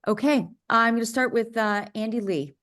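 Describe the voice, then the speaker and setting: A woman chairing an online public meeting and calling on speakers, talking haltingly with fillers and restarts. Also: a little high, fairly bright tone. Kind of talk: moderating public comment.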